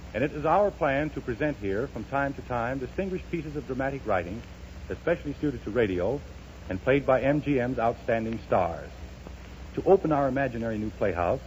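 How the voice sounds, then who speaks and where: A man's voice: medium pitch, a little mellow, a little hiss or hum. A man hosting a 1930s radio show, giving a scripted introduction in a formal, grand announcing manner. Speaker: radio program host. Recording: muffled 1930s radio broadcast.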